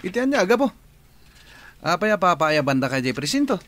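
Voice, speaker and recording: Nervy sidekick in a radio drama, a bit high pitched, questioning. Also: male voice, sing-song, fairly quick, a bit bright. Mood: uneasy.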